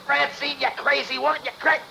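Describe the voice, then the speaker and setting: An actor playing a defiant, desperate cornered crook in a melodramatic vintage radio drama. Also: male voice, very high-pitched, fairly even intonation, fairly quick, creaky, full character voice. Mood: desperate, betrayed. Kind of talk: melodramatic 1940s radio acting. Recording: very noisy vintage radio recording.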